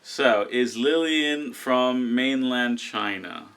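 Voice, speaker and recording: A man's voice: mid-range voice, slow pace, a bit bright. A young American man co-hosting a casual live stream and teasing his partner. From United States. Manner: teasing, joking. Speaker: co-host.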